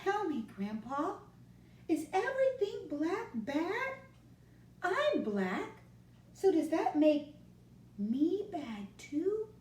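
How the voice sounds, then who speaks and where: Little storybook boy, put on by a woman: high-pitched, anxious and pleading, from across a roomy space. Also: sing-song, slow, pauses often, darkish tone, recorded from a distance, heavily acted. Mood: worried. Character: small boy, worried and unsure.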